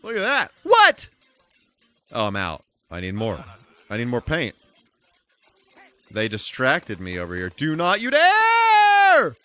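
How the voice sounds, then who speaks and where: Animated man live-streaming a video game. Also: a little high, slow, long pauses, clear, resonant tone, no room sound. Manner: mild folksy exclamations, mock-exasperated asides. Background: music.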